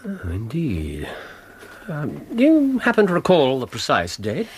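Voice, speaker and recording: Aloof victorian detective, radio drama, slow delivery but pitch swoops all over, bit of noise. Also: male voice, wildly swooping pitch. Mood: intrigued. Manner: clipped, languid, aloof. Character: aloof, languid, brilliant Victorian gentleman detective.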